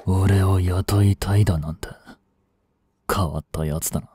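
A man acting as a cynical, aloof young street delinquent in a voice drama, with rough, casual, lazily teasing speech, close to the mic. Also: deep voice, fairly even intonation, moderate pace, warm tone, no echo. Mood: aloof, dismissive. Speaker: voice actor. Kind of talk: Japanese voice drama aimed at women, one-sided dialogue. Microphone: close studio mic. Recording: dry close-miked studio recording.

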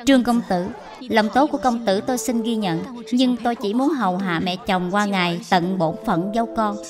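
A woman voicing a gentle, devoted young widow in a dubbed animated moral tale, speaking earnestly and deferentially. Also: mid-range voice, normal speed, close to an everyday voice. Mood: firm, polite. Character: gentle, devoted young widow caring for her mother-in-law. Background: background music.

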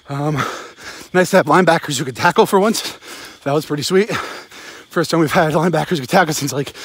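A man's voice: on the high side, no room sound. A man in his forties giving a blunt, sweary, rambling sports rant in short emphatic bursts into a phone while walking outdoors. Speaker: sports vlogger, football fan. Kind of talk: casual postgame rant, rambling to camera. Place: outdoors at night. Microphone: phone held close.

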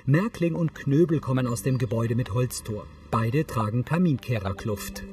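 A man's voice: medium pitch, normal speed, distant mic. A man reading neutral, scripted audio description for a TV series.

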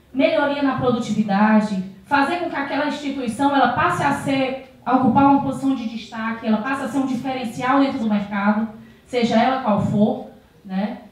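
A woman in her thirties from Brazil giving a conference talk on stage with a handheld mic, often adding tag questions and restarting sentences. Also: high-pitched. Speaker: conference speaker. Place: large auditorium stage with audience. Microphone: handheld microphone. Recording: very echoey hall, voice from far off.

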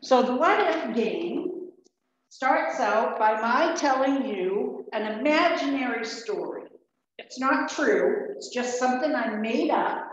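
A woman giving a children's message in a church, slowly posing what-if questions to a child, recorded from afar in the sanctuary. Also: fairly high pitch, a little hiss or hum. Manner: slow, gentle questioning of a child. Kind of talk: children's sermon storytelling. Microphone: lectern mic from a far camera. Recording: echoey, dull church room recording from afar.

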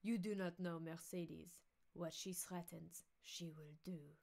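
A woman's voice: fairly low pitch, steady tone, gravelly, a little mellow, exaggerated voice. A woman voicing a lovesick, melodramatic young man with an earnest, resolute delivery in a novel reading. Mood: anguished devotion. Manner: earnest, dramatic, drawn-out.

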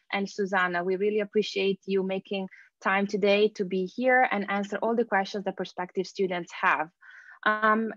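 Woman moderator on a dry, dull-sounding video call, polite, halting. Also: medium pitch, a bit bright, no echo. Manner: polite, slightly halting, with fillers. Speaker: assistant program director, moderator. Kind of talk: moderating a panel.

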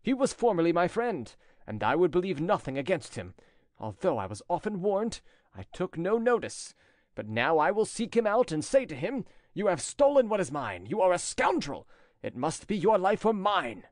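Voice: mid-range voice, a little mellow, dry recording, studio quality, pitched above the performer's own voice, lightly acted. A narrator voicing a jealous, haughty nobleman of old France in a declamatory, sneering, theatrical way for a storybook.

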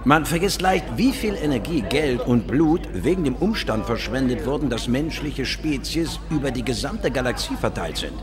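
An actor playing a confident, worldly biologist in a science fiction radio drama, dry and explanatory. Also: male voice, mid-range voice, expressive, normal speed, hardly any pauses, lightly acted. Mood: wry, emphatic. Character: confident, worldly male biologist and space investigator. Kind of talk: radio drama dialogue and first-person narration. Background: music.

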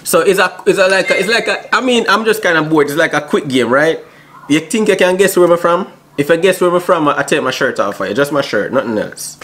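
A man's voice: on the high side, expressive, brisk, fairly bright tone, a little hiss or hum. A young man in his twenties with a Jamaican accent, chatting playfully with strangers on a video app in a slangy vlog. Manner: playful, slangy, drops Jamaican patois exclamations. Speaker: YouTube vlogger. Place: small home room indoors.

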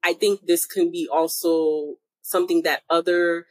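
A woman's voice: fairly low pitch, level tone, measured pace, darkish tone, no echo. A young woman of Haitian family from the United States, talking earnestly as a podcast guest and searching for words as she goes. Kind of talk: reflective interview conversation.

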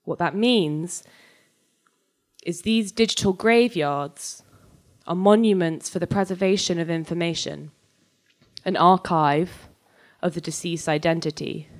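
A young adult woman giving a live, scripted dramatic reading of fiction on stage into a microphone, moving between measured narration and conversational dialogue. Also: fairly low pitch. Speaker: reader of a short story. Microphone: handheld-type microphone on a stand, close.

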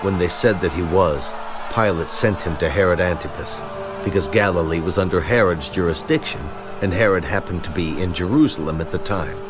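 Male narrator for scripture drama: low pitch, measured storytelling, music underneath.

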